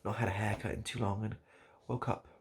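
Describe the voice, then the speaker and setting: A man improvising a comic monologue straight to the listener, halting and repeating himself in a mock-earnest, absurd way. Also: fairly low pitch, flat delivery, a little hiss or hum. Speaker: online video host.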